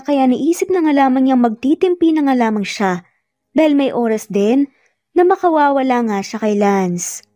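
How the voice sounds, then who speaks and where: Mellow-voiced woman narrating a romance story. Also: high-pitched, dry recording, studio quality.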